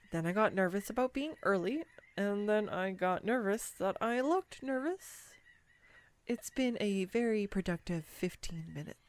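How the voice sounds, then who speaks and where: A woman's voice: medium pitch, fairly bright tone, dry recording. A woman playing a clumsy, nervous young woman on a first date in an audio roleplay, rambling and self-deprecating. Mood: excited, flustered. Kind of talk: intimate audio roleplay monologue.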